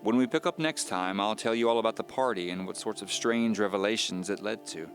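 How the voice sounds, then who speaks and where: Male actor as uneasy first-person mystery storyteller, deep voice, measured, confiding, audio drama narration, light music bed. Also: natural voice. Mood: weary, confiding. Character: Knox Carter, uneasy man recounting his mystery firsthand.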